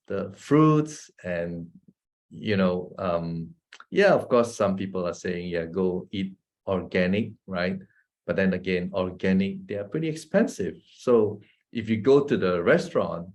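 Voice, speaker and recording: Guy, kinda low voice, doctor doing a casual health talk, keeps going "right?" to the crowd. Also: darkish tone. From Malaysia. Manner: chatty, often asks the audience "right?" and "guess what?"; chuckles often between points. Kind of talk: informal health talk with slides.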